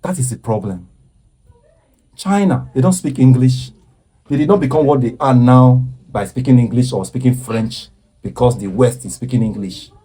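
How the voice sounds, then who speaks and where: A man speaks with earnest, preaching conviction and often stops to repeat words. His voice is dark and mellow with a husky edge. He lectures like a commentator making a case. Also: mid-range voice, moderate pace. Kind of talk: impassioned social commentary.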